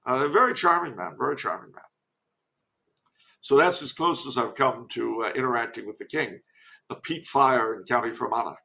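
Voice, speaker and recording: A man's voice: mid-range voice, moderate pace, vocal fry, sharp tone. An older man speaking as a podcast guest in a discursive, emphatic storytelling manner, mixing commentary with anecdotes.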